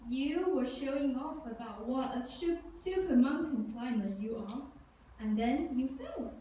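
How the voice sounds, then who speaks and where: A woman's voice: sits high, expressive, unhurried, dark tone. A woman presenting alongside a puppet in a scripted children's show, with a chiding, instructive way of talking. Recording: echoey room, distant mic, faint noise.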